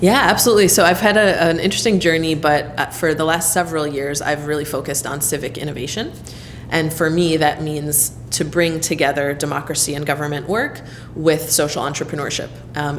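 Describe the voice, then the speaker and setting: A woman from the United States with a notably low voice answers interview questions at an easy conversational pace. She talks thoughtfully, filling her pauses with ums and you-knows. A faint hiss sits under her voice. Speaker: interviewee, leader at a civic nonprofit hub.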